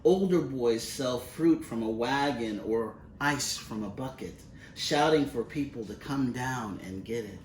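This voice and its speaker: A man reading a children's storybook aloud expressively, in measured, deliberate phrases with pauses. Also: mid-range voice. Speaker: picture book reader. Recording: roomy, distant mic with faint noise.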